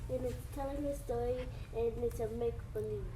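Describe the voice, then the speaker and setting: A female student calls out short answers to her teacher during a lesson. Her high voice comes from across a noisy classroom. The room echoes around her. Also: steady tone, a little fast, distant mic.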